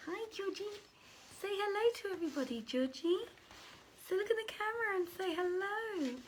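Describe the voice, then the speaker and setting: A woman's voice: very high voice, normal speed, slight background noise, rough recording. A woman hosting a live storytelling stream from home, chatty and affectionate, with theatrical touches in her greetings. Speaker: host and storyteller, theatre director. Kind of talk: informal welcome before a live bedtime-style reading. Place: at home, live to camera on a tripod.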